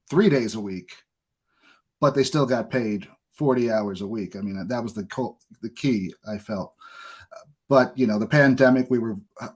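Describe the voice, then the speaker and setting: A man with a mid-pitched voice chats as a podcast host. He is informal and conversational. His phrasing comes haltingly, as if he is working out the thought while speaking. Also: a little mellow. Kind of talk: casual conversational interview.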